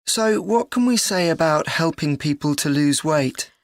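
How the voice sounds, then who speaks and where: Earnest student, dry sound. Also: female voice, deep voice, animated, a little slow, no room sound. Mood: earnest, thoughtful. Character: earnest university student discussing a joint presentation. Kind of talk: scripted educational dialogue.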